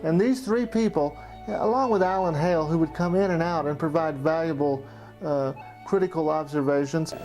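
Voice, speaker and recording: A man's voice: fairly high pitch, moderate pace, a little mellow, faint music behind, low-quality recording. A man narrating a personal look back as a documentary storyteller and amateur filmmaker.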